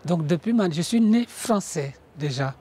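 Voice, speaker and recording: A man's voice: fairly high pitch, animated, unhurried, dark tone, slightly rough. A man born in former French West Africa, speaking earnestly in a testimony about feeling French. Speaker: interviewee, association founder.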